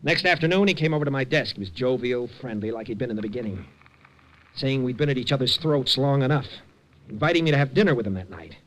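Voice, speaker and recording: An actor playing a frightened middle-aged man haunted by darkness, in a 1950s radio drama, halting and nervous. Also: medium pitch, animated, a bit bright, close to an everyday voice, rough recording. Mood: uneasy. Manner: nervous, halting, breaks into panic.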